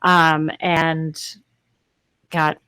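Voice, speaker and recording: A woman's voice: deep voice, level tone, extremely slow, bright, forceful tone, clear, resonant tone, no echo. A woman in her thirties telling casual anecdotes as a voice actor guest on a remote panel, close on the microphone. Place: indoor home recording space, video call.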